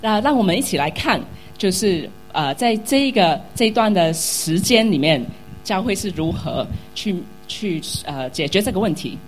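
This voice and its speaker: A woman's voice: medium pitch, animated, moderate pace, a little hiss or hum. A woman interpreting a sermon into Mandarin, which is not her first language, hesitant and full of fillers.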